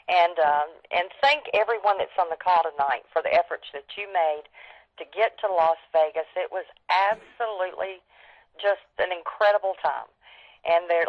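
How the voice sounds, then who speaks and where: A woman's voice: lowish voice, no echo. A woman giving an informal sales team update on a conference call, speaking enthusiastically and with emphasis. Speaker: network marketing leader giving updates. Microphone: telephone. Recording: dull, band-limited conference phone line.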